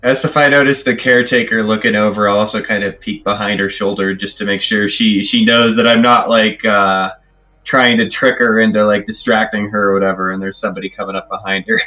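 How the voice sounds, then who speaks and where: A man's voice: lowish voice, steady tone, a little fast, pushed and bright, muffled recording. A man chatting casually as a player in a tabletop roleplaying game, describing what his character does.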